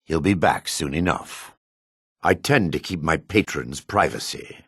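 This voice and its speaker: An actor playing a proud, hard-edged male dark elf in a fantasy game, with a husky voice and a cool, self-assured manner. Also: lively intonation, measured pace, no room sound, studio quality, full character voice. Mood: guarded. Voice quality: husky, low. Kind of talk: fantasy game character lines.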